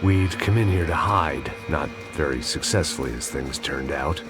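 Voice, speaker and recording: Very deep gravelly voice, American, middle-aged hard-boiled ex-cop, noir game narration, sardonic, brooding, clear music behind. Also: male voice, moderate pace. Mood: wry, deadpan. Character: world-weary, bitter middle-aged male ex-cop turned gunman. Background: background music.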